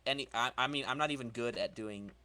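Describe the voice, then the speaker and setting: A man's voice: medium pitch, fast talker, sharp tone. A male podcast host chatting casually as he organizes a group reading.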